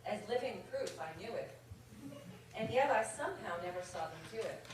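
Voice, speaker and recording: A woman poet reading her own comic verse aloud at a conference, with measured comic timing. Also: mid-range voice, animated, a little hiss or hum. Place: indoor conference reading room. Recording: very echoey room from far off. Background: audience laughter.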